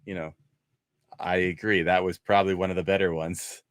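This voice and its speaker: Male host for casual discussion, lowish voice, very dry recording. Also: flat delivery, moderate pace, clear, resonant tone, no room sound. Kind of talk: casual movie discussion chat.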